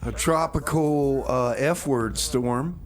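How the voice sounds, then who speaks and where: A man's voice: medium pitch, takes it slowly. A man hosting a community music radio show. He talks casually, in a loose, joking and rambling way.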